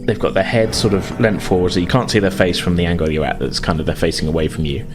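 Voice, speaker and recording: A man's voice: sits low, fairly even intonation, fast talker, music in the background. A man improvising narration as game master of a tabletop game, in a hedging, conversational, explanatory way.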